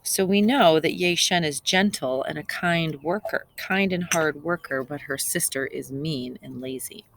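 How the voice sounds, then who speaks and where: A woman's voice: sits low, measured pace, few pauses, a little hiss or hum, poor audio. A woman reading aloud to young children as a teacher, explaining simply and repeating key points. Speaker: second grade teacher.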